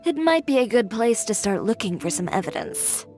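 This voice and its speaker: A woman's voice: a little high, very animated, moderate pace, darkish tone. An actress playing a proud, determined young female designer with a dry, self-assured, decisive manner in a video game. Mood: dry, composed. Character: confident, determined young woman fashion designer, proud and brisk. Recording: music behind the voice.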